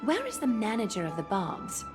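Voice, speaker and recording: An actress playing a solemn, composed noblewoman leader in a video game, formal, measured and reserved. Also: mid-range voice, wildly swooping pitch. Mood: stern, composed. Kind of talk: scripted video game voice acting. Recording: loud background music. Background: music.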